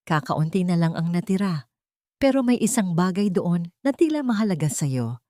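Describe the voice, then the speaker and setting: A woman voicing a sorrowful, kindly older woman in a story, speaking sadly and gently. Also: on the low side, very animated, normal speed, no room sound, studio quality. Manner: sad, gentle.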